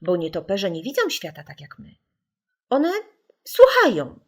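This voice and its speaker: A woman voicing a calm, caring mother who gently and patiently explains things to children in an audiobook. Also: lowish voice, unhurried, breathy edge, heavily acted. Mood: reassuring.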